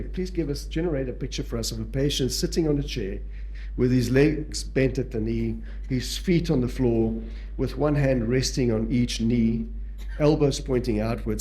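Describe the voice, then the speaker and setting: A man from South Africa, whose first language is Portuguese, speaks with a South African accent as he gives a conference lecture on palliative care, measured and pausing often with fillers and restarts. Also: mid-range voice, dark tone.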